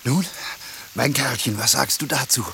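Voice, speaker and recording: An actor playing a determined, gruff but placid soldier leading his comrade along in a radio play. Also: mid-range voice, normal speed, sharp tone. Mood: pleased. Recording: hissy, noisy radio play scene with rain.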